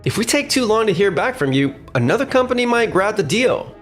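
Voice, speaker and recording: A man voicing an overconfident, pushy young real estate salesman in an animated manga dub style. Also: high-pitched, brisk. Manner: cocky, persuasive, animated. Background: faint background music.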